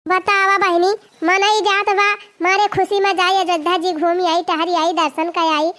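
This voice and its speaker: Cartoon village wife: Awadhi accent, extremely high sharp voice, determined, scolding, complaining. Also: level tone, normal speed. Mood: scolding, exasperated. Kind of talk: comedy cartoon dialogue in village dialect.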